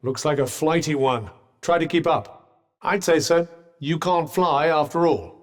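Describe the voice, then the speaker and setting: A man's voice: a little high, a little slow, long reverb tail, lightly acted. An actor voicing a sardonic male magic bracelet companion in a game, with dry, witty, teasing quips. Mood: wry. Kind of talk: game voice lines.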